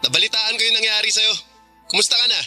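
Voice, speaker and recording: A man's voice: high voice, fast talker, pushed and bright, faint music behind. A man playing a cocky, teasing young boyfriend in a Filipino radio drama, smug and jokey.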